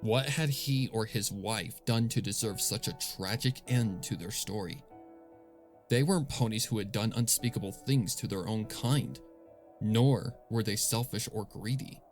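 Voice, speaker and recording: A man narrating a dark story in a somber, dramatic reading style, with music playing underneath. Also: on the low side, measured pace. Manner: somber, grave. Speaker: narrator, fan fiction reader.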